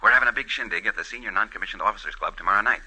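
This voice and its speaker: An actor playing a jovial, folksy, flirtatious army sergeant in a 1940s radio drama, chummy and joking. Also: male voice, on the low side, very fast, bright, forceful tone, breathy edge, heavily acted, low-quality recording. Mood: jovial, eager.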